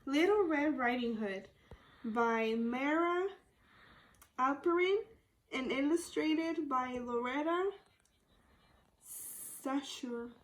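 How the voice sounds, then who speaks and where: Slow, very high-voiced woman reading aloud. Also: poor audio. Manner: deliberate, pausing to question listeners. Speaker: student teacher. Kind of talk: storybook read-aloud to young children.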